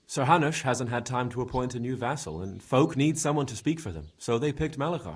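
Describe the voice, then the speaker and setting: A husky male villager from a medieval game, mid-pitched. He is folksy and forthcoming, laying things out plainly. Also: expressive, fairly quick. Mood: informative. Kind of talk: video game voice acting.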